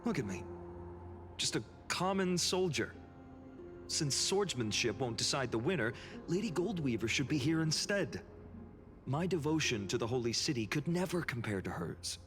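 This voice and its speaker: Video game role: man as a sincere young soldier hero, humble, expressive, mid-range, over music. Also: mid-range voice, moderate pace, close to an everyday voice. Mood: humble, uncertain. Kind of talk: English video game voice acting. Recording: game music under the dialogue.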